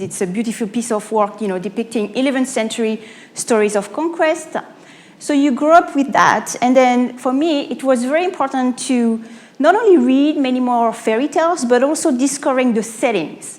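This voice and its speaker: A woman from France with a French accent giving a live talk as an author and coach, explaining through rhetorical questions with pauses and self-corrections. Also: fairly high pitch, a little mellow. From Normandy, France. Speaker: speaker, author and pitch coach. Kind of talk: live public talk to an audience.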